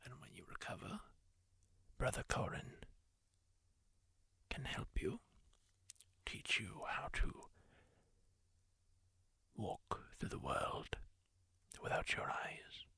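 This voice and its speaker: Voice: mid-range voice, wildly swooping pitch, no echo, exaggerated voice. Someone whispering as a kindly masked healer. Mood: soothing. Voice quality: whispered, gravelly and breathy. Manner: slow, hushed and consoling. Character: kindly masked healer tending a wounded wanderer. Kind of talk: ASMR roleplay whisper.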